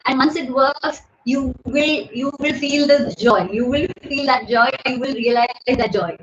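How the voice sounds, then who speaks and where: A high-pitched woman in her thirties with an Indian English accent, talking loudly and chattily into her phone during a home livestream. The bare bedroom gives a strong echo, and the audio is slightly dull with a faint hiss. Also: measured pace. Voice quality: loud voice. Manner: chatty, laughs often, thanks viewers by name. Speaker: livestream host. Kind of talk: casual live Q&A. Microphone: phone camera at desk distance.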